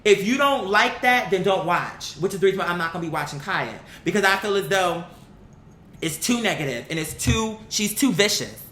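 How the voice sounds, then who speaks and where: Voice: lively intonation, normal speed, a bit bright. An online commentary host giving a blunt, opinionated, casual rant to viewers, swearing freely and repeating words for emphasis. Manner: blunt, profane, emphatic repetition. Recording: roomy echo, faint rumbling noise.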